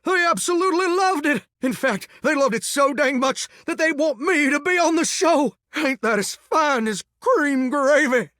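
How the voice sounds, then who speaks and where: A man voicing an earnest, excitable shower come to life as a folksy country singer with dreams, in an American Southern accent, for a video game. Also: sits high, normal speed, no room sound, studio quality. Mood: excited, sincere. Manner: folksy, earnest, flirty drawl with dramatic swoops. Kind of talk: video game character voice acting.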